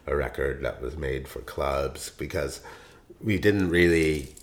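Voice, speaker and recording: A man who is a musician, telling stories about his band in a rambling, animated way with many fillers. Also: extremely low pitch, measured pace. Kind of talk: interview storytelling.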